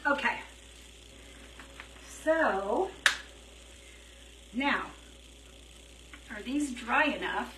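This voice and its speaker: Woman livestreaming a craft project, kinda high voice, chatty, throat a bit dry, roomy echo. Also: expressive, drawn-out words, long pauses. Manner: chatty, thinking out loud. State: dry throat, voice feels worn. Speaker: craft live-streamer. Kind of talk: casual live craft stream chat. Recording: roomy, slightly distant, faint hiss.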